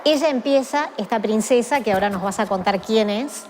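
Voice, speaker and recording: A woman's voice: fairly high pitch, a little fast, a little mellow, slight background noise. Woman hosting a book show. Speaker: host and interviewer. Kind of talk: casual chat and interview. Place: museum.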